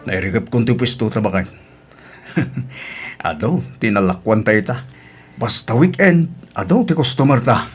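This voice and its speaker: An actor playing an easygoing, devoted husband and market vendor in a radio drama, with an Ilocano accent. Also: male voice, mid-range voice, normal speed, long pauses, slightly rough, soft background music, lightly acted. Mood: busy, upbeat.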